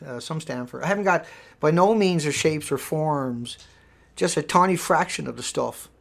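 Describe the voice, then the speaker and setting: Man, gravelly, middle-aged, rambling live stream host, emphatic. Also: mid-range voice. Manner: rambling, emphatic, repeats words. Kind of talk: casual live stream monologue.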